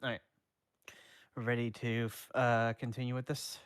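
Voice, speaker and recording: A man's voice: mid-range voice, level tone, unhurried, clear, resonant tone, no echo, clean studio sound. A man streamer reading fan fiction aloud with a cohost, laid-back and swearing casually.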